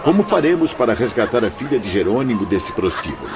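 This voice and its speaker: A man's voice: medium pitch, big pitch swings, fast talker, a crowd in the background. A man playing a kindly, earnest spirit missionary recounting his journey in an audio drama, solemn and emotive. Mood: urgent, distressed. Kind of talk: audio drama acting, storytelling. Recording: dull audio with background music.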